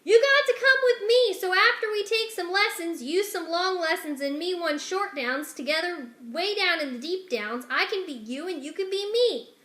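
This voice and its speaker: A dim but eager servant girl, as a woman reader puts her on, pitched high and pushed out bluntly. Her speech is uneducated and shouty, in children's storybook style, with a roomy echo. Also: animated, normal speed, full character voice. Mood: shouting. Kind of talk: dramatic children's storybook reading. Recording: echoey room.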